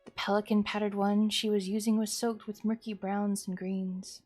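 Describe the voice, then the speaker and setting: A woman streamer reading a horror visual novel aloud as expressive narration. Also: mid-range voice, normal speed. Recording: music behind the voice. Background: music.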